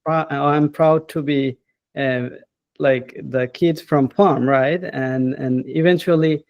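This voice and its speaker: A man, a professor talking as an interview guest in an unhurried, hesitant way and often adding "right?". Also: fairly high pitch, fairly even intonation, no echo, muffled recording. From Bangladesh. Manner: unhurried, hesitant, with frequent "uh" and tag questions like "right?". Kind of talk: casual interview.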